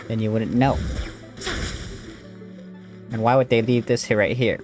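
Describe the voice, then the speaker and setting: A man giving casual gameplay commentary, muttering his reactions as he plays. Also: on the low side. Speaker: gaming streamer.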